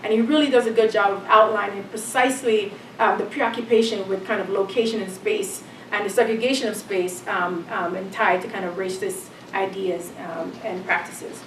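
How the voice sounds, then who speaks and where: A woman's voice: mid-range voice, steady tone, distant mic, slight background noise. Woman lecturing in an echoey hall. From Jamaica. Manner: measured reading with frequent ums and kind-ofs. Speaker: professor giving a lecture. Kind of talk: academic lecture reading from notes.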